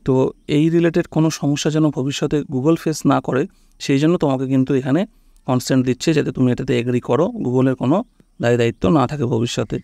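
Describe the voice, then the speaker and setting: A man's voice: medium pitch, brisk, creaky, warm tone, no echo, studio quality. A man hosts a casual step-by-step phone tutorial, instructing and often checking that the listener follows. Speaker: tech tutorial host.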